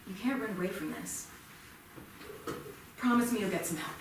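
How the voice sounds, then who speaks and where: A woman's voice: medium pitch, moderate pace, lightly acted. Woman acting worried partner, distant and echoey. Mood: worried, insistent. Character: worried, insistent woman urging her partner to get help. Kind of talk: staged play reading. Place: small theatre, staged reading. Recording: noisy, very echoey theatre from far off.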